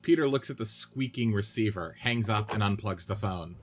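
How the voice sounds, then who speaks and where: A man's voice: lowish voice, moderate pace. A man giving scripted narration of stage directions and scene headings for a radio-play reading.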